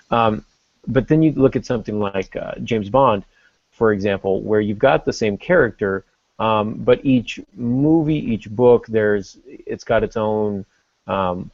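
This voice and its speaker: Man, low creaky voice, explanatory podcast co-host, thinking aloud, muffled lo-fi sound. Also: darkish tone, no room sound. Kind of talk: casual discussion of fiction writing. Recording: dull lo-fi podcast audio.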